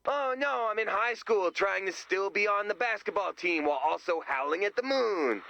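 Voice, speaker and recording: A man's voice: high-pitched, expressive, moderate pace, vocal fry, pushed and bright, no echo, highs cut off, exaggerated voice. An actor playing an eager, smug, nerdy young male sidekick in a comic video game style. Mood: chipper, curious. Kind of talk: animated video game voice acting.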